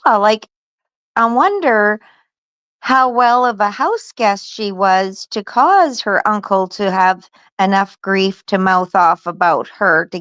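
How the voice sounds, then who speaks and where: A woman's voice: mid-range voice, fairly bright tone, no echo, dull audio. A woman co-host in a casual reaction chat who muses aloud and asks questions. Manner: questioning, musing.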